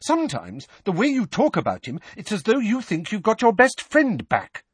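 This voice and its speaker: A man voicing a fussy, protective middle-aged mother in an audiobook, sharp, emphatic and scolding. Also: high voice, huge pitch swings, normal speed, breathy edge, dry recording, pitched above the performer's own voice, exaggerated voice. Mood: angry, rising. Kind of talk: audiobook character voice.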